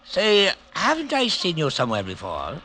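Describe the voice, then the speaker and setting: An actor playing a friendly beat police officer making small talk, in a 1940s radio drama. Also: male voice, a little high, huge pitch swings, moderate pace. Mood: genial.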